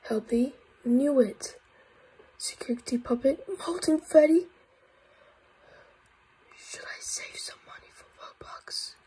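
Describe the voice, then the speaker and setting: A woman with a blocked nose and a croaky voice, giving excitable gameplay commentary with frequent whispered asides. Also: fairly high pitch, poor audio. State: congested, croaky voice. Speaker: gaming YouTuber.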